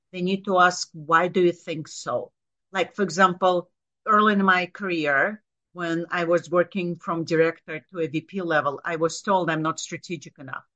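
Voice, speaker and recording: A woman with a low voice and a Russian accent, a guest giving direct, practical career advice in an interview. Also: a bit bright. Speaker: guest, author. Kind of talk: interview conversation.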